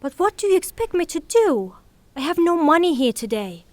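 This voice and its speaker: An actress playing a proud, melodramatic grieving widow in a theatrical audio drama, speaking in a formal, haughty way. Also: female voice, extremely high pitch, very animated, normal speed, darkish tone, dry recording. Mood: firm.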